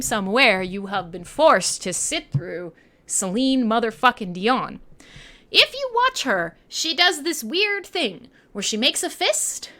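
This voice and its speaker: Female radio host; lively, swooping higher-pitched delivery, irreverent and sassy, swears freely. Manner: sassy, sweary, dramatic emphasis. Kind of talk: irreverent live music-show monologue.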